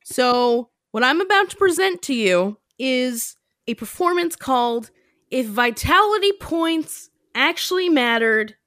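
Woman host, casual chat, self-deprecating humor, fairly high voice, dry sound. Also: a bit bright, no room sound. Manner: chatty, self-deprecating, laughing often. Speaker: podcast host.

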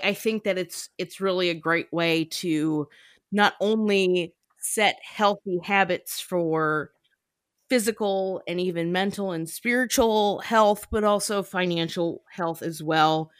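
A woman's voice: medium pitch, fairly bright tone, smooth, no echo, studio quality. A young woman hosting a podcast, explaining things in a casual, slangy, playful way. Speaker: podcast host.

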